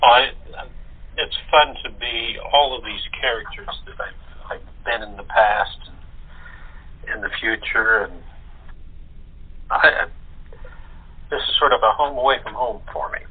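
A man chatting as an interview guest in halting phrases, over a tinny, muffled phone line. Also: mid-range voice, breathy edge. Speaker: voice actor guest. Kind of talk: casual interview chat.

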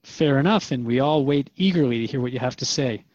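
A man's voice: mid-range voice, fairly quick, a little mellow, no room sound, dull audio, close to an everyday voice. A man playing a modest, earnest radio interviewer in a scripted radio drama. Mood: appreciative. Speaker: radio show host and interviewer.

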